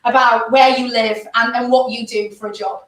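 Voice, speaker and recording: A woman's voice: a little high, steady tone, normal speed, roomy sound, distant mic, rough recording. A woman hosting a live online travel chat, upbeat and enthusiastic, with frequent fillers. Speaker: host.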